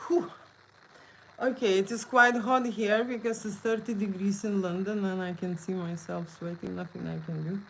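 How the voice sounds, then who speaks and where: A woman's voice: medium pitch, steady tone, normal speed, gravelly, poor audio. Lithuanian lady chatting live on her phone, keeps saying okay?, a bit of background hum. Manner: chatty, frequent "okay?" tags, non-native phrasing. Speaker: photographer hosting a live Q&A. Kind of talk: casual livestream chat. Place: indoor studio.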